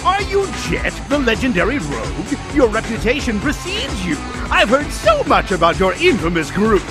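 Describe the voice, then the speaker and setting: An actor playing a scheming older mad scientist who talks in an oily, mock-polite, theatrical way. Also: male voice, very high-pitched, huge pitch swings, moderate pace, music in the background, full character voice.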